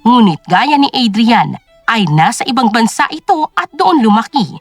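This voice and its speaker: A woman's voice: on the high side, lively intonation, normal speed, no room sound, soft background music. A woman narrating a scripted drama story in a dramatic storytelling style.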